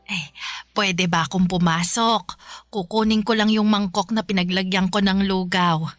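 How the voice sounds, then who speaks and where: A woman's voice: mid-range voice, fairly even intonation, moderate pace, dry recording, natural voice. A woman playing a caring, patient middle-aged Filipino mother in a radio drama. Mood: tender. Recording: music bed under the voice.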